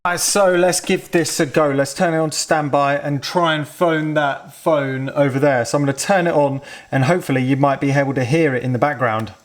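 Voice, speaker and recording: A British man giving casual, excitable hands-on commentary as he tinkers with gadgets, thinking aloud informally. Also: on the high side, fairly quick, hardly any pauses. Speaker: host of a vintage technology museum channel.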